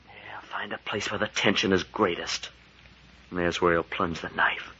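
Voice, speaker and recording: An actor playing a tough, bitter American sidekick in a 1940s radio adventure, with slangy, excitable speech and a husky voice. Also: male voice, low voice, normal speed, full character voice. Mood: anguished, grim. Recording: muffled vintage 1940s radio recording.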